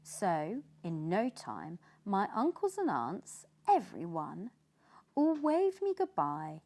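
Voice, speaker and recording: A British woman reads a children's tale aloud. Her narration is dramatic and expressive, sweeping widely in pitch while taking its time over each phrase. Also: slow. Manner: expressive, with hushed dramatic passages. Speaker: storyteller.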